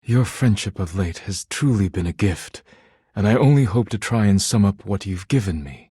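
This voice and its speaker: Very husky, low, solemn poet. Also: male voice, animated, dark tone, dry recording, clean studio sound. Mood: earnest, touched. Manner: formal, deliberate, grave poet's delivery. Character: solemn, proud, thin-skinned poetic male dish, earnest and sentimental. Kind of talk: video game character acting.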